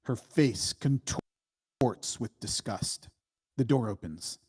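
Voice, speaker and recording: A man narrates screenplay stage directions at a slow pace. His voice is mid-pitched, with a measured, matter-of-fact delivery. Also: animated, darkish tone, breathy edge.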